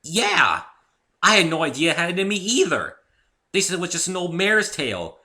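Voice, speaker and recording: A man's voice: high voice, very animated, moderate pace, sharp tone, pitched above the performer's own voice, heavily acted. A man voicing a brash, boastful young female pegasus pony racer in a dramatic story reading, cocky and emphatic. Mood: proud. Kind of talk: dramatic reading of fan fiction.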